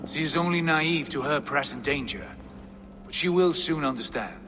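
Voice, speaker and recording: An actor playing a coolly menacing Viking lord in a video game, speaking in a theatrical, deliberate, sardonic way. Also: male voice, a little high, lively intonation, a bit bright. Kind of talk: dramatic video game acting.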